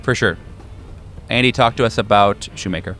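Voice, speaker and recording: A man's voice: on the low side, moderate pace, a bit bright. A man acting as game master, describing scenes and guiding players in a tabletop roleplaying game.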